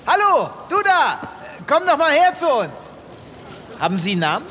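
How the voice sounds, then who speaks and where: A man's voice: very high-pitched, huge pitch swings, moderate pace, a bit bright, a little hiss or hum, heavily acted. A man playing a law-abiding, naively upbeat space veterinarian with a fussy, theatrical manner in a comedy audio drama. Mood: cheerful. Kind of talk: German comedy science fiction audio drama.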